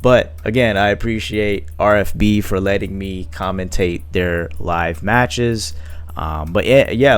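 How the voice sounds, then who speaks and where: A man calls a card game match live in a loose, chatty way, thinking out loud and filling pauses as he goes. His voice sits low and comes across smooth and resonant. The recording is dry and close, with faint background music. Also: no echo. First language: not Italian. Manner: casual, thinking aloud with fillers.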